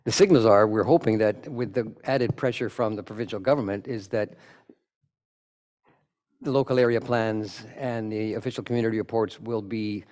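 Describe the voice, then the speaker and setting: A man's voice: fairly low pitch, moderate pace, darkish tone. A man presenting for a committee at a church meeting, answering questions in a plain-spoken, joking way.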